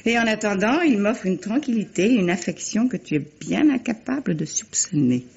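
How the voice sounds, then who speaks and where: A woman's voice: medium pitch, lively intonation, normal speed, vocal fry, a little mellow, husky, exaggerated voice. An actress playing a self-possessed, ironic woman novelist in a 1950s-style French radio drama. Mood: cool, pointed. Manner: ironic, teasing, composed. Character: self-possessed remarried woman novelist, wry toward her ex-husband. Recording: muffled, noisy vintage radio recording.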